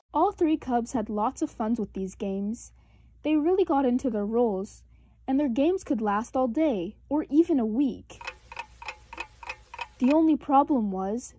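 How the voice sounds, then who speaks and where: A woman narrates a children's picture book aloud. Her voice is fairly high and expressive, with deliberate emphasis, recorded dry and close. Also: dry recording. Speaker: storybook reader.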